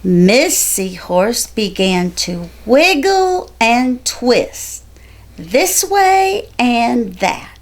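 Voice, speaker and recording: A woman reading a children's picture book aloud in a deliberate storytelling manner. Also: a little high, big pitch swings. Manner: slow, deliberate, storytelling delivery. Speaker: storybook reader.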